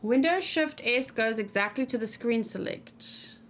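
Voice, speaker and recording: A woman's voice: a little high, animated, a little slow, slight background noise. A woman giving casual let's play commentary, thinking aloud and chatting to her viewers over game music. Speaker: livestreamer playing a video game. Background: game music, typing.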